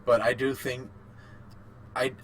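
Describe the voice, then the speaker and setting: A man's voice: mid-range voice, flat delivery, takes it slowly, fairly bright tone. A man co-hosting a casual podcast chat about games and films, informal and a little sweary. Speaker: podcast co-host.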